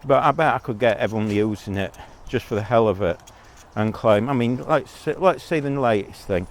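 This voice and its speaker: A man's voice: mid-range voice, normal speed. A man giving a blunt, rambling monologue as a vlogger, talking while out on a walk.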